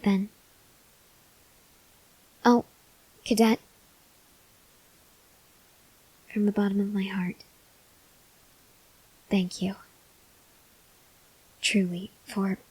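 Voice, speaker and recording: A woman playing a gentle, awkward, hungry female vampire in an ASMR roleplay, speaking softly and hesitantly with breathy near-whispers and tender reassurance. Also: mid-range voice, level tone, slow pace, pauses often, no echo, rough recording. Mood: caring, grateful. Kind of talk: ASMR roleplay, intimate address to the listener.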